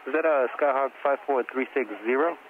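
A male air traffic controller talks quickly through clearance instructions. His medium-pitched voice comes over a thin, phone-like aviation radio with faint noise. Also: steady tone.

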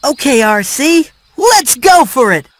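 A small talking mouse for a video game. The voice is high and boyish, with animated pitch. It sounds friendly and eager to help. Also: takes it slowly, slight background noise, full character voice. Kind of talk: video game cutscene acting.

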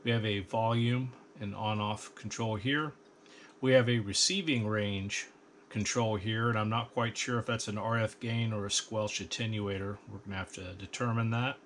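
American male reviewer, middle-aged, low pitch, methodical technical delivery, faint noise behind the voice. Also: steady tone, fairly bright tone. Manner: measured, precise technical explaining. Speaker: host, radio equipment reviewer. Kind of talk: instructional bench review narration. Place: indoor electronics workbench.

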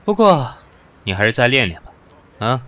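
An actor playing a proud, cool company boss with a condescending, sarcastic manner in an audio drama. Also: male voice, medium pitch, very animated, normal speed, background noise, close to an everyday voice. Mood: sardonic, condescending. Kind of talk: modern audio drama acting.

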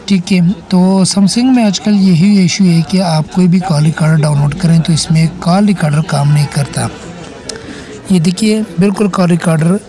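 A man with a high-pitched voice and a mellow, relaxed tone presents a phone repair tutorial. He talks casually and instructively, taking the listener through it step by step with frequent check-ins. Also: rough recording. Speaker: tech tutorial host.

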